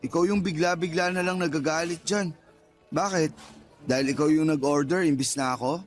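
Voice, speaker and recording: An actor playing a defensive, teasing, flippant young boyfriend with a Filipino accent in a radio drama, whining out excuses. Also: male voice, on the high side, steady tone, normal speed, lightly acted. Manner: whiny, flippant excuse-making. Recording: background music under the voice.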